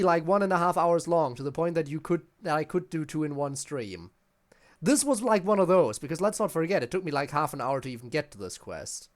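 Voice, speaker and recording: A man giving casual, wry, informal live commentary as a game streamer. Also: sits high.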